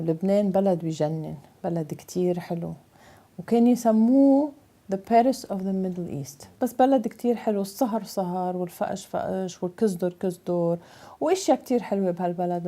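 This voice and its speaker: A woman's voice: mid-range voice, racing, mellow. A mature Lebanese woman, a native Arabic speaker, telling her personal story in an emphatic way with a Lebanese accent. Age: 50s or older. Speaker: interviewee, mother telling her life story. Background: plucked guitar music.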